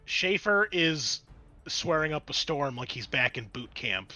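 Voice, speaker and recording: Bright-voiced man running a tabletop game. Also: on the high side, a little slow, soft background music. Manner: animated, exclamatory, swears casually. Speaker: game master and host. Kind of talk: running a tabletop roleplaying game, improvised narration.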